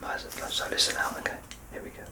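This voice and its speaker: A man in his thirties doing an ASMR medical exam roleplay as a doctor, soft-spoken, reassuring and explanatory. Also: quick pace. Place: small curtained indoor room.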